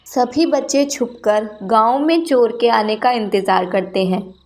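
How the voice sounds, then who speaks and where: High-voiced woman narrating children's story. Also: measured pace, darkish tone.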